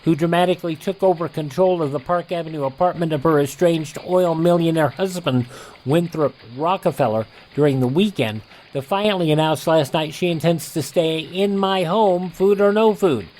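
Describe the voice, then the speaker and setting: A man's voice: a little high, darkish tone, slight background noise, low-quality recording. A man with an American accent working as a radio host, reading scripted announcements in a presenter's style. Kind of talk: scripted narration of ads and old news headlines.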